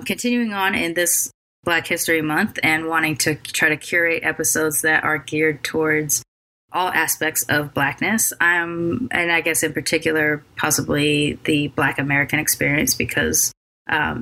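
A woman's voice: deep voice, sharp tone. Tired, scratchy-voiced woman podcast host. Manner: halting, with many ums and restarts. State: scratchy voice after a cold; very tired, late at night. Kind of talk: casual solo podcast intro.

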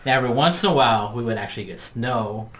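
A man's voice: mid-range voice, fast talker, a bit bright, a little hiss or hum. A man talking casually as a panelist on a group podcast. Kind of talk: casual chat.

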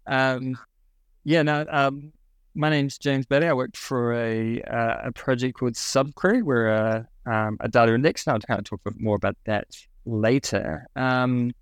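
A man's voice: mid-range voice, fairly even intonation, normal speed, clean tone, no echo. A young man with a New Zealand accent, a podcast guest explaining a product with many ums and restarts. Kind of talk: podcast interview.

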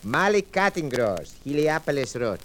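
A man's voice: fairly high pitch, sing-song, normal speed, a bit bright. An actor playing a fussy, put-upon male clerk, complaining and self-pitying in a 1940s radio drama. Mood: curt, bored. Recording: faintly noisy, clicky old radio recording.

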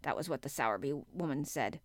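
A woman voicing a miserable middle-aged gentleman in an audiobook, with a worried, absent-minded and fretful manner. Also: medium pitch, animated, a little fast, no echo, clean studio sound, close to an everyday voice. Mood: weary, fretful. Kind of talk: audiobook character acting.

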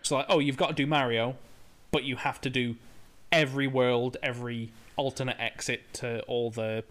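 A man, talking casually as a co-host. He explains things in a relaxed, rambling way, with an ordinary mid-pitched voice. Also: normal speed. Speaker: podcast co-host. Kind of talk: casual chat.

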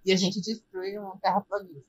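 A Brazilian podcast co-host talks in a casual, slangy way. The voice is slightly rough and husky, at a medium pitch. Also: little pitch movement, fairly quick, poor audio. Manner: informal, slangy.

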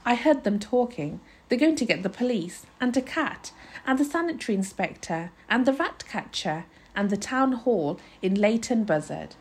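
A woman voicing a weary, gruff father of tiny hidden people, resigned and speaking in plain rustic dialect, in a storybook reading. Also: a little high, animated, moderate pace. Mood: grim, urgent.

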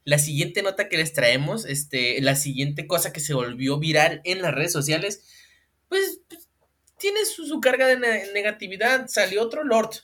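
A man's voice: sits high, moderate pace. A man with a Mexican accent co-hosting a casual comedic chat about viral news, slangy and laughing often. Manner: animated, slangy, laughs often, mimics voices. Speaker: co-host.

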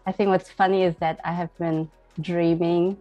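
Chatty young woman, lowish voice. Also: little pitch movement, normal speed, mellow, muffled recording, faint music behind. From Germany. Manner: chatty, peppered with "like" and "I think". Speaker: podcast guest. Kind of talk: casual personal interview.

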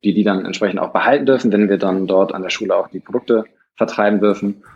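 A man from Hamburg with a lower voice rattles through his answers at a rapid clip as a podcast guest. He hesitates often and restarts his sentences along the way. The recording is muffled and lo-fi. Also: fairly even intonation, vocal fry, a little mellow. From Hamburg, Germany. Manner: fast, hesitant with many fillers and restarts. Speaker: startup founder, guest. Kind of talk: conversational interview answers. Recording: dull lo-fi sound.

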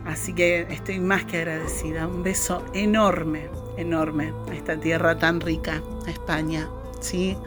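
German woman hosting, deep, over music. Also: little pitch movement. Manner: halting, frequent restarts and throat clearing; effusive, heartfelt thanks. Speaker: podcast host. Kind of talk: podcast interview.

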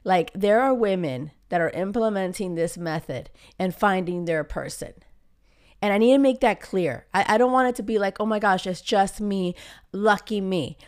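A woman's voice: mid-range voice, moderate pace, smooth. A woman, hosting a casual solo podcast as a love coach, talking emphatically with many fillers while her voice comes back after a cold. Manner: emphatic, gets riled up, frequent fillers. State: recovering from a cold, voice coming back.

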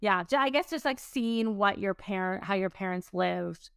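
A woman's voice: mid-range voice, moderate pace, gravelly, a bit bright, clean tone, no room sound, studio quality. A woman in her late twenties talking casually as a podcast host, in an informal, rambling way sprinkled with "like". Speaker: podcast host and business owner. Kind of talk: casual conversational podcast chat.

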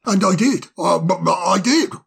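A man's voice: high voice, animated, moderate pace, creaky, breathy edge, heavily acted. A man doing a stammering timid owl. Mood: flustered. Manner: stammering, apologetic, bumbling. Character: timid flustered male owl underling. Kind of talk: storybook audiobook character voice.

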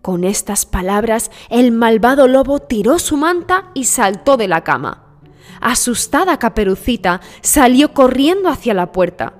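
A woman's voice: on the high side, sing-song, measured pace. Woman storyteller in long reverb. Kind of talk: scripted children's story narration. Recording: long reverb with music behind. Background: music.

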